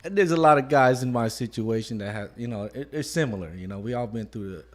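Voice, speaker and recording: A man in his thirties with a mid-range voice speaks as an interview guest. His delivery is soft-spoken and reflective, and he falls back often on "you know" as he gathers his thoughts. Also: mid-range voice, fast talker. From Modesto, California. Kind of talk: casual conversation.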